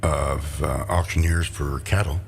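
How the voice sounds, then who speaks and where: A man's voice: rumbling low, fairly even intonation, measured pace, gravelly, a little hiss or hum. A man, an auctioneer, chatting on a radio show in an easygoing, hesitant way with many fillers. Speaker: auctioneer guest. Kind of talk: casual interview chat. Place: radio studio.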